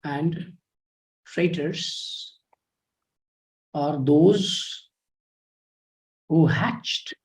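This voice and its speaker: A man with an Indian English accent giving a live online history lecture. He speaks quietly and in a measured way, and he reads out comments from his students. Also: rough recording. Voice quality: low, soft voice. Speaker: history teacher.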